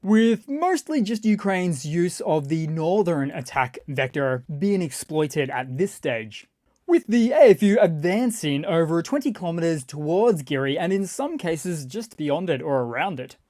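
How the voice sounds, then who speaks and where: A news commentator narrating scripted war-map updates in a measured, explanatory way with wry asides. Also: mid-range voice, animated, a little mellow, no room sound, studio quality. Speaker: news commentator and channel host.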